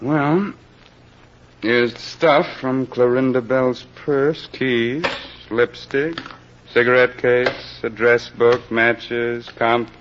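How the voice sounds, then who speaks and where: Gruff radio police captain, muffled recording. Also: male voice, medium pitch, steady tone, extremely slow, fairly bright tone, slight background noise. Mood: musing. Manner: curt, hesitant "uh"s, wry. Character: gruff, dogged police captain questioning suspects. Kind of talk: old-time radio mystery acting.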